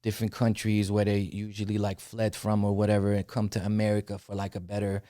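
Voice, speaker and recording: A man's voice: fairly low pitch, monotone, quick pace, mellow, no room sound, clean studio sound. A young man from the Netherlands, a rapper talking casually as a podcast guest, loose and peppered with "you know what I'm saying.". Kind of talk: casual interview conversation.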